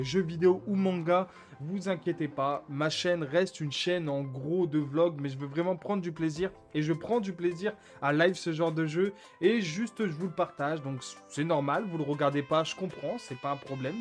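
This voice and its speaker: Guy youtuber, kinda high voice, chatting casually to his subs, bit of music in the back. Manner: informal, conversational, addresses viewers as mates. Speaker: small YouTuber, vlogger. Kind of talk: casual vlog talk to subscribers, commenting on channel stats.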